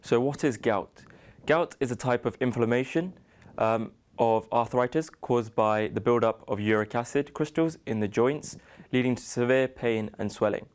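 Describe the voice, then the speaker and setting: A young British man in his twenties with a very clean, smooth voice at a medium pitch. He delivers an informational student presentation, a little haltingly. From United Kingdom. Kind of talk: slide presentation on a medical topic.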